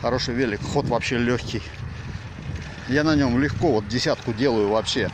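A man's voice: medium pitch, normal speed. Rambling man filming on a bike ride. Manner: rambling, slangy, frequent fillers. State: riding a bicycle while talking. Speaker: vlogger. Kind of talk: casual first-person ride commentary. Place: outdoors on a village road. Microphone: phone mounted on handlebars. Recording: loud wind noise on the mic.

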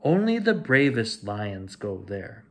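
A man's voice: fairly low pitch, very animated, slow pace, a bit bright, full character voice. A man voicing a sly, scheming adult male lion uncle in a storybook, with an insinuating manner.

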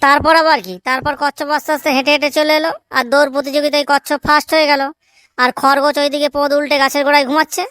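A woman's voice: sits high, level tone, quick pace, a bit bright. A woman voicing a cheeky, crude village schoolboy in a comedy cartoon. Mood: cocky. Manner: cheeky, blunt excuse-making.

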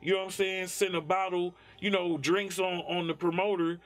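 A man with a Detroit accent talks candidly in a dim studio room, relaxed but blunt and full of profanity. His voice sits a little high for a man, and faint music runs under his words. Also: moderate pace. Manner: laid-back, blunt and profane, many "you know what I'm saying". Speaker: rapper interviewee. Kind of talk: candid interview talk.

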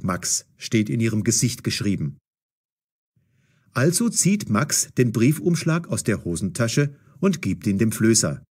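A man narrating a scripted audio story for language learners in a deliberate, storytelling way. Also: on the low side, darkish tone, breathy edge.

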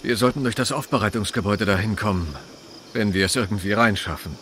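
Actor as easygoing ranger, low bright voice. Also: male voice, fast talker, a little hiss or hum, close to an everyday voice. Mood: encouraging. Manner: casual, supportive. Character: Ryan, easygoing young park ranger, steady and encouraging. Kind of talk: dubbed video game acting.

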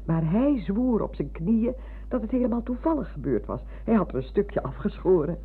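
Radio play: garrulous old schoolmistress, devoted wife, husky, on a thin old radio recording. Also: female voice, mid-range voice, sing-song, phone-quality sound. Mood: wistful, chatty. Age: older. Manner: garrulous, halting with repetitions.

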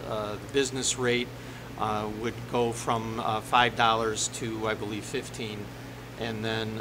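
A middle-aged man speaking haltingly as a village attorney, formally explaining ordinances at a board meeting. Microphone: desk microphone on the dais. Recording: noisy meeting room with ventilation rumble.

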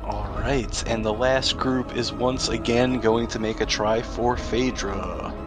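A man's voice: mid-range voice, fairly even intonation, takes it slowly, over music. Casual man running a tabletop game. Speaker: game master.

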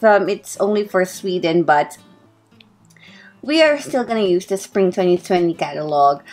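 A Filipino woman in her thirties talks to camera in an unhurried, upbeat way, often pausing on an um. Soft music plays quietly behind her. Her voice sits at an ordinary middle pitch for a woman. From Philippines. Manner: chatty and upbeat, with frequent ums. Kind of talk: casual vlog talk to camera. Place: bedroom at home, indoors.

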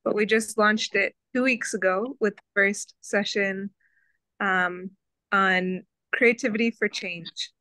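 A woman's voice: medium pitch, fairly even intonation, slow pace, pushed and bright, no room sound, low-quality recording. A woman organizing a group, chatting cheerfully on a video call and laughing easily. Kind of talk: casual chat on video call.

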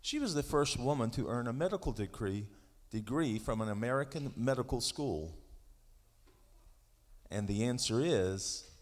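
A man hosting a quiz at a school event, reading clues aloud and announcing each answer in a measured, drawn-out way. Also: medium pitch, lively intonation. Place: church sanctuary. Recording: reverberant church hall.

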